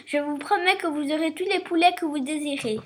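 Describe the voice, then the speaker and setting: A man voicing a tiny, plucky boy from a storybook, exclaiming and pleading in a squeaky falsetto. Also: fairly quick, a bit bright. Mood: eager, insistent. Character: tiny, plucky thumb-sized boy crying out for help.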